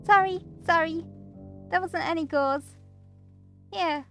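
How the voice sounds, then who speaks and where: A woman's voice: extremely high pitch, slow, fairly bright tone, faint music behind, voice pushed up, heavily acted. A woman voicing a timid, insecure young girlfriend in a visual novel, meek, hesitant and apologetic. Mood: flustered.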